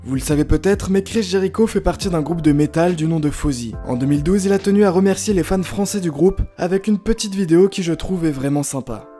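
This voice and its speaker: Higher-voiced mellow man narrating a countdown. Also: fast, hardly any pauses. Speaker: host of a wrestling countdown video. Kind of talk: scripted narration. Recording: music behind the narration. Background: background music.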